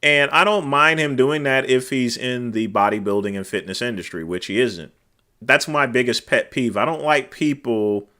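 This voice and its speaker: A man hosting a casual livestream, talking to viewers in a loud, carrying voice, rambling with many fillers and letting phrase ends drop off quietly. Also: medium pitch, clean tone. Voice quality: naturally loud, cutting voice. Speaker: livestream host. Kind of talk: casual chat reading viewer comments. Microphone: desk microphone.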